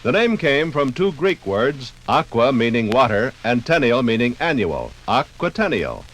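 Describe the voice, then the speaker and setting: A man's voice: mid-range voice, big pitch swings, moderate pace, rough recording. An American man narrating a scripted 1950s radio documentary program. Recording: 1958 radio program from LP record. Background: faint music.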